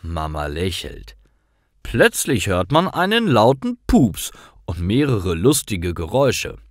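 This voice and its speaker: A man narrating simple scripted stories for language learners in a careful, expressive way. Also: lowish voice, slow pace, dry recording, clean studio sound. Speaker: storyteller for German learners.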